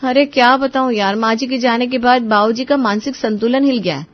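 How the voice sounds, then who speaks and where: A woman's voice: fairly high pitch, a little fast, highs cut off, lightly acted. A woman playing a clever, burdened young daughter-in-law who speaks expressively in a cartoon moral story. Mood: plaintive.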